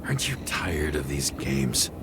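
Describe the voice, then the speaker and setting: A man's voice: rumbling low, sing-song, unhurried, music in the background, heavily acted. An actor playing a weary, cynical middle-aged detective in a noir game, dryly and sardonically muttering his deductions. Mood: sardonic. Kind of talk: noir game voice acting, investigative monologue.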